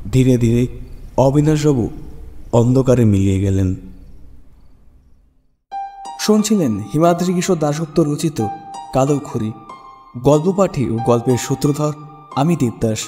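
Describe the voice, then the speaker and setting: Mellow male horror narrator with long reverb. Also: a little high. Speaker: narrator of a radio story show. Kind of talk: scripted dramatic horror story narration. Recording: long reverb over music. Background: background music.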